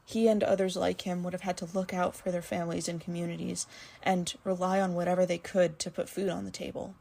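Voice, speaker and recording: Husky, creaky-voiced woman reading an essay. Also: fairly low pitch, darkish tone. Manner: earnest, articulate, measured. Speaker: listener sending a recorded commentary. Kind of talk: prepared spoken essay, literary analysis.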